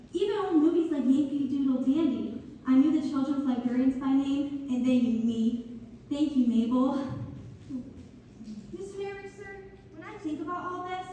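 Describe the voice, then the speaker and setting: High, soft actress as polite young townswoman. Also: natural voice. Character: polite, hopeful, sincere local girl or young woman. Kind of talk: community theater read-through. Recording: echoey hall from far off, faint noise.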